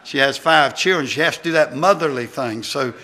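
A man preaches a sermon in a folksy, halting way. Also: medium pitch, sharp tone. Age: 60s. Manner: folksy, halting delivery with amens. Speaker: visiting preacher, former pastor. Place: church sanctuary at a wooden pulpit.

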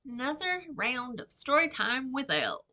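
A middle-aged woman, an author reading her own fiction aloud in a measured, dramatic storytime delivery. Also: sits high, very animated, pushed and bright. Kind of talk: expressive storytime book reading. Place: small indoor room with shelving.

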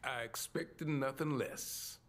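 An American actor playing a shrewd, easygoing older job broker in a video game, smooth-talking and teasing. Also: male voice, mid-range voice, slow, a bit bright. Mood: wry, businesslike. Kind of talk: video game cutscene acting.

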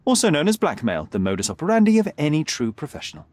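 An actor playing a confident, sharp young detective who speaks formally and articulately, needling and unflappable in a dramatic video game style. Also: male voice, on the high side, wildly swooping pitch, fast, no room sound. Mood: assured, accusing.

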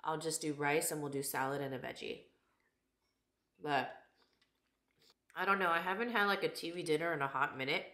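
A woman's voice: low-pitched, moderate pace, long pauses, bright, forceful tone. Chatty woman vlogging in an echoey kitchen. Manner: chatty, candid, laughs often. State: slightly raspy from a cold. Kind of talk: casual vlog chat. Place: home kitchen and living room. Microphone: camera held at arm's length. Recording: echoey room.

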